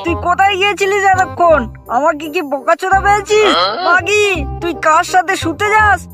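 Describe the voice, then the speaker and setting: A man voicing an angry, suspicious old village husband in a crude comedy cartoon, scolding and foul-mouthed through a pitch-raising effect. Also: brisk. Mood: angry, accusing. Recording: loud music over the voice.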